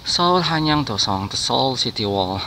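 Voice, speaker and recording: Man narrating a walk outdoors, gravelly. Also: mid-range voice, moderate pace. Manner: relaxed, chatty, pointing things out. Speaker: vlogger. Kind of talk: casual walking commentary. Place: outdoors on a hillside city wall trail. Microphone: handheld phone camera. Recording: noisy outdoor phone recording.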